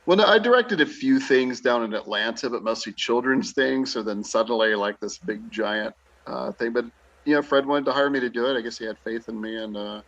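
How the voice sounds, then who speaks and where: A man's voice: mid-range voice, a little fast, a bit bright. A man, an actor and director, chatting casually as a guest in a joking, self-deprecating way. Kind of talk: casual chat. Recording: dull lo-fi audio.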